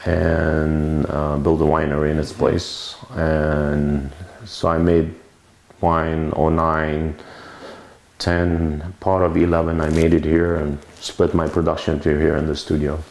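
A man's voice: very deep voice, vocal fry, a little mellow. A man, telling his story in a rambling, self-correcting way in an oral history interview at a winery. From Lebanon. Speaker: winemaker interviewee. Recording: roomy recording with faint noise.

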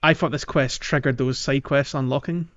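A man's voice: medium pitch, fairly even intonation, normal speed, fairly bright tone. Man chatting on a dull-sounding recording. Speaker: podcast co-host. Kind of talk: casual gaming podcast chat.

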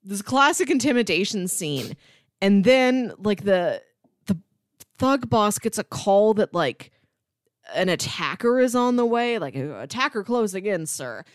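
A woman's voice: mid-range voice, big pitch swings, measured pace, no echo. Casual woman podcaster with a dry, close sound. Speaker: podcast co-host. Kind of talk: casual film discussion.

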